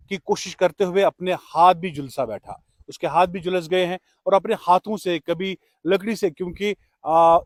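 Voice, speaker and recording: A man's voice: high voice, no room sound. A man speaking formally and courteously as a radio host giving a tribute and interview on location. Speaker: radio host presenting an appreciation award.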